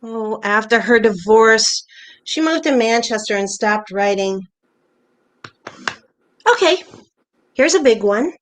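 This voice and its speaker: A woman plays an older wife in a short stage play, reminiscing in a fairly high voice. She is playful and a little bossy, with a teasing, conversational and nostalgic way of talking.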